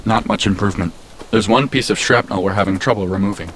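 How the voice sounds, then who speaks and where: An actor voicing an eager, literal, even-toned AI assistant on a space colony in an audio drama. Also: male voice, fairly low pitch, quick pace, a little hiss or hum, close to an everyday voice. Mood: polite, reasoning. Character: chipper, still-learning male AI assistant of a space colony.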